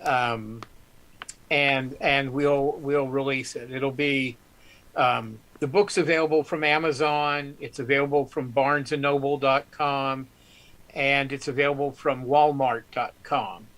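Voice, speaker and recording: A man's voice: mid-range voice, fairly even intonation, unhurried, fairly bright tone, poor audio. A man guest author telling stories on a live stream, rambling with many ums and restarts. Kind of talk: casual storytelling on a live stream.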